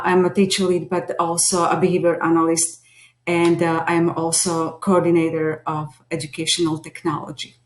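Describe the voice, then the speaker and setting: A middle-aged woman from Slovakia with a Slovak accent, giving an earnest, hesitant introduction of herself to a class. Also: on the low side. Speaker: teacher lead and behavior analyst. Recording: echoey room.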